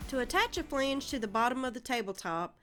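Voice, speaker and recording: A woman's voice: high-pitched, very animated, fairly quick, a bit bright, soft background music. A woman with a Southern American accent narrating a home DIY project in a friendly, step-by-step way. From Southern United States. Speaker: DIY channel host. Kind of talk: instructional voice-over narration.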